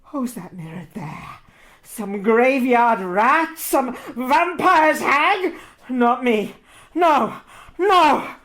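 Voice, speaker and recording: An actress playing a haughty, vain, bloodthirsty countess in a horror audio drama, theatrical and imperious with breathy whispers. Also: female voice, high voice, lively intonation, very slow, a bit bright, full character voice. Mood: horrified, proud.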